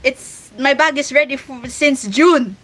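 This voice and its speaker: Filipino woman streamer, bright and a little high, casual rambling chat, faint hiss. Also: measured pace. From Philippines. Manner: chatty, rambling with many restarts. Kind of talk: casual livestream chat while drawing.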